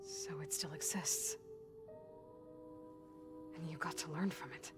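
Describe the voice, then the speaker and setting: A woman acting a determined, guarded young warrior in a measured, matter-of-fact way for a video game cutscene. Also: low voice, steady tone. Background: music behind the voice.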